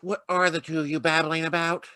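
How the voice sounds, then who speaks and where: Man acting an exasperated, blustering police lieutenant, forceful. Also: fairly high pitch, flat delivery, brisk, clean tone, no echo, studio quality. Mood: blustering, irate. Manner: blustering, grumbling. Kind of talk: old-time radio detective drama acting. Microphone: video call.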